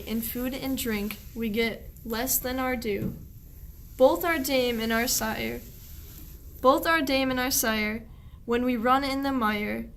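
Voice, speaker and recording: Woman as bright, slow-spoken shepherd lad. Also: on the high side. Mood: aggrieved. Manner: earnest, declamatory verse delivery. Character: young male shepherd servant, fearful, put-upon and complaining. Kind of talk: amateur radio play verse acting.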